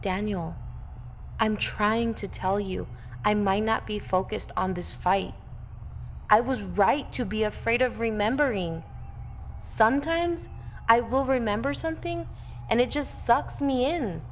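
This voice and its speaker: A woman's voice: fairly high pitch, a little slow, a little hiss or hum, lightly acted. A woman acting as a cool, earnest, haunted heroine in an audio drama. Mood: troubled. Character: cool, haunted female robot with troubled memories.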